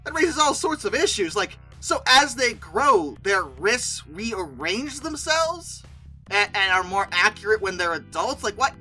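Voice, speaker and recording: A host giving casual, opinionated commentary in an informal, chatty way, expressive and given to exasperated mock disbelief. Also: medium pitch, measured pace, husky, soft background music. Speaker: video host and narrator.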